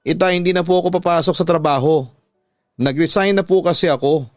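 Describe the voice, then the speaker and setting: A man's voice: fairly high pitch, steady tone, brisk, no echo, studio quality, voice pushed up, natural voice. A man voicing a weary, defiant teenage daughter who defends herself pleadingly in a radio drama. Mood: defensive. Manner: pleading, defensive, talking back. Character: weary defiant nineteen-year-old daughter, a housemaid.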